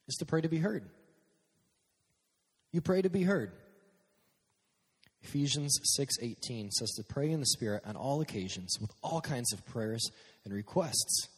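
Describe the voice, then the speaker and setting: A man preaching a casual, energetic sermon to young people on a stage microphone, dropping to quiet prayer at times. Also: medium pitch, slightly rough, in a large hall. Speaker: youth pastor. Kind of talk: youth sermon. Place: church hall with a live sound system.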